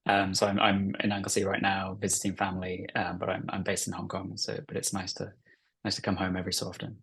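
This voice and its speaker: A man's voice: deep voice, monotone, fast talker, gravelly, fairly bright tone, husky. A man from North Wales whose first language is Welsh, an author and journalist answering interview questions with many fillers and restarts. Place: family home, remote call.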